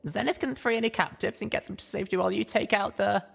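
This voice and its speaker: A man's voice: high-pitched, quick pace, gravelly, fairly bright tone, slightly rough, voice pushed up, heavily acted. A man voicing a young mare heroine, an earnest and determined adventurer in a dramatic story reading. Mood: earnest, urgent.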